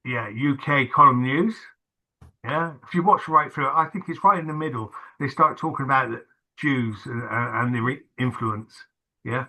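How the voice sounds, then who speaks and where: Male host, casual talk, bright tone, halting and filler-heavy. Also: mid-range voice, poor audio. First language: not English. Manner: hesitant, many fillers and restarts. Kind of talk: casual video-call chat.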